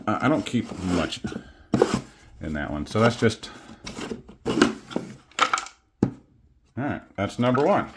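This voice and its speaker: A man casually showing his collection on camera at home, rambling and self-deprecating with many pauses and fillers. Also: low voice, heavy background noise. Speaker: coin collecting vlogger. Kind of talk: casual show-and-tell. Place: home studio.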